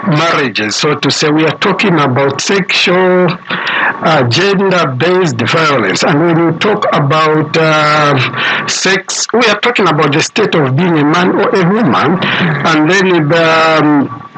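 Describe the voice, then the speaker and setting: Higher-pitched Zambian man on noisy radio. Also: slow. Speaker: expert guest on a radio call-in programme. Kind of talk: explanatory radio discussion.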